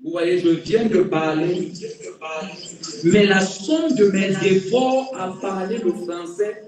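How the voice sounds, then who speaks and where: High-voiced preacher in an echoey room. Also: male voice, slow, music in the background. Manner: repeats key phrases for emphasis, swings between quiet and loud. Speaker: pastor and preacher. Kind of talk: sermon. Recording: very echoey room, distant mic.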